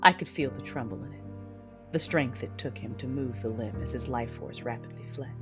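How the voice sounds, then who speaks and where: A woman's voice: rumbling low, moderate pace. An actress playing a vengeful, anguished Sith warrior, narrating her own tale in an intense, brooding, theatrical manner for an audio drama. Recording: loud music behind the voice. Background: loud dramatic music.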